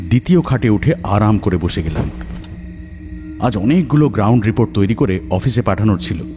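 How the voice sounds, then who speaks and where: A man narrating a first-person horror story in a dramatic style. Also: on the low side. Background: background music.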